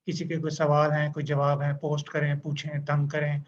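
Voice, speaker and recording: A man's voice: a little high, monotone, normal speed. A man hosting a casual online interview, chatty and agreeable, with joking asides. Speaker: host. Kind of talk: casual Urdu-English interview. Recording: dull lo-fi sound with faint noise.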